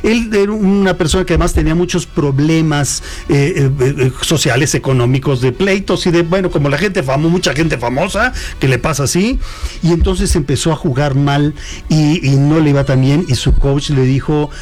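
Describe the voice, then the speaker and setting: A man's voice: fairly high pitch, normal speed, darkish tone. A middle-aged Mexican man with a Mexican accent, answering an interviewer in a reflective, storytelling way with hesitant restarts. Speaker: guest. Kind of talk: conversational interview.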